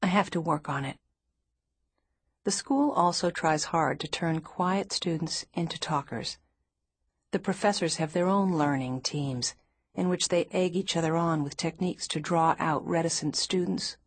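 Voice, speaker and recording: A woman narrates nonfiction in a measured, even way. Her voice sits a little low and carries a husky edge. The recording is dry and close, with no room sound. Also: dry recording. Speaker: audiobook narrator.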